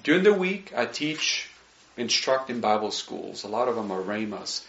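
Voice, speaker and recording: A man's voice: fairly low pitch, very animated, moderate pace, slight background noise. Swiss-accented man in a roomy hall. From Switzerland. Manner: earnest, warm asides, frequent "you know" and "right?". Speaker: missionary speaker. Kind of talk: presenting slides to a congregation. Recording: roomy, dull sound.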